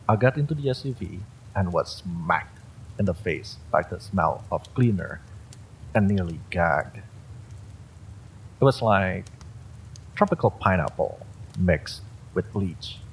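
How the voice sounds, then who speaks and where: A man narrates a first-person horror story in a low voice with plenty of gravelly creak. He reads from a script as a storyteller. Faint noise sits behind him. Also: poor audio.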